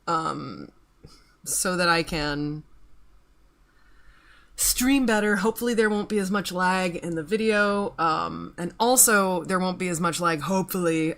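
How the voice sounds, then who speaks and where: A woman's voice: lowish voice, fairly bright tone. Chatty rambling woman in her thirties. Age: 30s. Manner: rambling, swears casually, reads chat aloud. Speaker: streamer. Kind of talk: casual chat while working.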